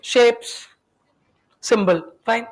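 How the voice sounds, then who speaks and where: A woman's voice: fairly high pitch, very slow. Chatty woman teacher quizzing her class. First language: Tamil. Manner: questioning students, chatty and teasing. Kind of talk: classroom lecture.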